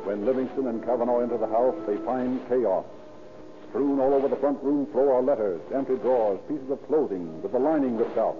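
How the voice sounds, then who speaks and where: A man's voice: medium pitch, a little fast. A man narrating a scripted 1930s radio crime drama in a formal, dramatic manner. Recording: tinny old radio recording. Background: music.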